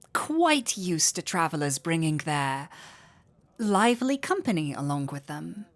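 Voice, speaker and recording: A woman playing a flirty, teasing tavern innkeeper in an intimate fantasy audio roleplay, with a coy, playful manner. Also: medium pitch. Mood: welcoming, wry.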